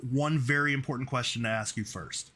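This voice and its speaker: A man's voice: medium pitch, brisk, bright, forceful tone. A man hosting a livestream, chatting casually with a caller and laughing easily. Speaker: livestream host. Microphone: video call.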